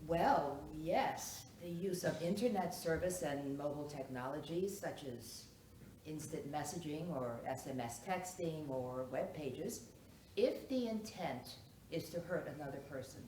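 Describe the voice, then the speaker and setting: Woman as expert-witness psychiatrist, courtroom play: low-pitched, measured, clinical; distant, noisy live-room recording. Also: expressive, mellow, echoey room. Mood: formal, clinical. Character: formal female psychiatrist testifying as expert witness. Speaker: actor in a one-act play. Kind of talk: stage courtroom drama acting.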